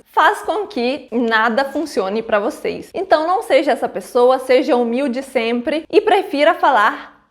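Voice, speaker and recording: A woman speaks straight to her viewers with frank advice. Her voice sits high. She poses rhetorical questions and answers them firmly herself. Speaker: vlogger giving advice on life abroad. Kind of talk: direct advice vlog.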